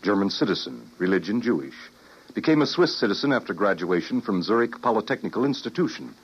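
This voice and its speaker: A man's voice: on the low side, sing-song, fast, husky, full character voice. An actor playing a cool, businesslike security man with clipped, factual delivery in an old radio drama. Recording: muffled vintage radio drama recording.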